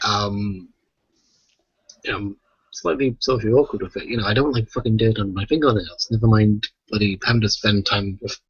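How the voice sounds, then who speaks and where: A man's voice: on the low side, steady tone, moderate pace, husky, no echo, lo-fi sound. A British man co-hosting a casual podcast, chatty and rambling, swearing freely. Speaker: podcast co-host.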